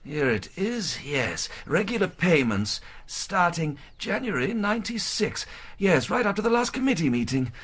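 An actor playing a kindly, plain-spoken charity official in a radio drama, British, with a husky voice and a confiding, colloquial manner. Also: male voice, sits high, big pitch swings, moderate pace, a bit bright, full character voice. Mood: busy, helpful.

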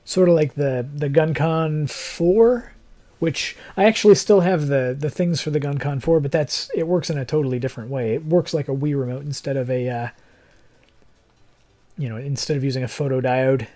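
A young adult man who streams games, chatting casually in a rambling, technical way, with a slightly hoarse voice. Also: on the high side, normal speed, dark tone. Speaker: game streamer. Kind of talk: casual livestream chat. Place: living room, seated in armchair indoors.

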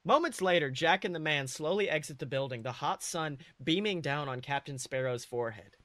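Male podcast storyteller, somewhat high pitch, dramatic comedic narration, dry close sound. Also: animated, a little fast, fairly bright tone, no echo. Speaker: podcast host and story reader.

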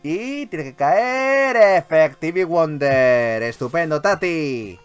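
A man with a Castilian accent from Spain giving excitable, sweary let's play commentary as a gaming YouTuber. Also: fairly high pitch, takes it slowly, clean tone, soft background music. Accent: Castilian Spanish from Spain. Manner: animated, expressive, swears freely.

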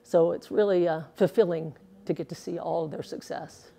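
Gravelly low-voiced woman in her sixties. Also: fairly even intonation, moderate pace, mellow. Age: 60s. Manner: chatty, earnest, rambling with fillers. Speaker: interviewee, former pro bowler. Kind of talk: casual interview answers. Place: indoors in a bowling alley. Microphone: lapel mic.

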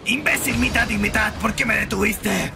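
A man's voice: high-pitched, moderate pace, gravelly, loud music behind the voice, voice pushed up. A man voicing an arrogant, explosive teenage boy anime hero who speaks in aggressive insults. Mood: irritated.

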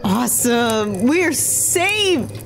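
A woman playing an excitable, hot-tempered and curious demon in an audio drama, blunt and casual. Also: a little high, extremely slow, faint music behind, heavily acted. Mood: eager. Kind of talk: audio fiction podcast acting.

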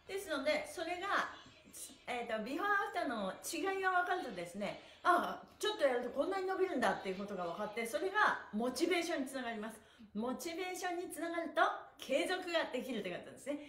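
A middle-aged woman instructor guiding viewers through stretches in a chatty live stream, cheerful, encouraging and politely presented. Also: high-pitched. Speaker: host, stretching instructor and pantomime teacher. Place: small indoor room with bare walls. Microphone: camera or phone a short distance away. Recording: echoey bare room, slightly distant microphone.

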